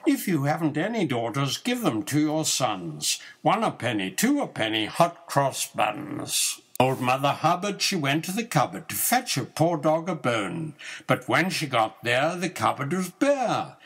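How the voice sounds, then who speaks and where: An older man reciting nursery rhymes as a grandfather would, in a rhythmic, measured singsong with drawn-out line endings. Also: mid-range voice, very animated, fairly bright tone, breathy edge.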